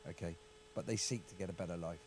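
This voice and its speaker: Halting low-voiced English man. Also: darkish tone, a little hiss or hum. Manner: halting, repeats words, hedging. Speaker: county councillor. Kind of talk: casual political discussion.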